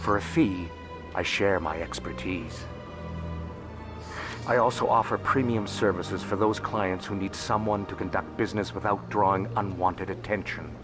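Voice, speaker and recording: A man acts out a deep-pitched alien financier from a sci-fi game. He is shrewd and impeccably polite, choosing formal, discreet phrasing. Music plays clearly behind him. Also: fairly even intonation, moderate pace, natural voice. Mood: businesslike. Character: shrewd, courteous alien financier and information dealer. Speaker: financial advisor and information dealer character. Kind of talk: video game dialogue acting.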